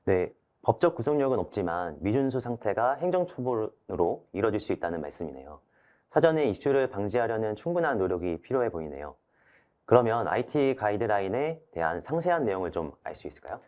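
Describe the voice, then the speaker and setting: A man's voice: on the low side, very animated, fairly quick, darkish tone, highs cut off. A man hosting a webinar in a polite, formal, scripted way, summing up and posing questions. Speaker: webinar host, moderator. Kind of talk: scripted moderated webinar talk.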